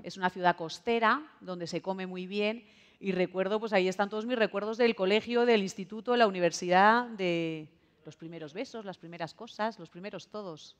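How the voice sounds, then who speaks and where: A woman's voice: medium pitch, moderate pace, big-room sound. A young woman from Spain with a Spanish accent, a journalist guest on a talk show, chatty and self-mocking. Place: TV studio set.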